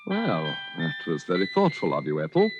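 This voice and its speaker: A low, swooping British male voice plays a secretive gentleman husband in a vintage radio thriller. He is formal and hesitant, always evasive, with grand notions of his own station. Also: huge pitch swings, moderate pace, highs cut off. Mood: weary, formal. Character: furtive, evasive married Englishman with grand delusions of station. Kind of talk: 1940s radio suspense acting. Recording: vintage radio drama recording, faint music.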